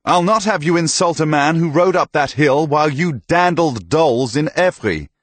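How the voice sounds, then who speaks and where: A man doing a booming jovial old knight. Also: animated, moderate pace, no room sound. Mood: hearty. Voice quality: booming, laughing barks. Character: boisterous hearty older male knight, jovial and commanding. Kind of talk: audiobook character voice.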